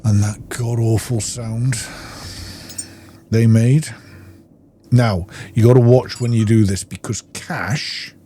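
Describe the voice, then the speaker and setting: A man's voice: mid-range voice, fairly even intonation, takes it slowly, pauses often, warm tone, slight background noise. A middle-aged man giving casual gameplay commentary, rambling and thinking aloud. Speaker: gaming YouTuber.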